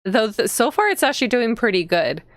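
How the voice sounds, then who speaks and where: A woman's voice: fairly high pitch, very animated, a little fast, no room sound, clean studio sound. A woman reading visual novel text aloud as a streamer, expressively, with casual reactions to the story.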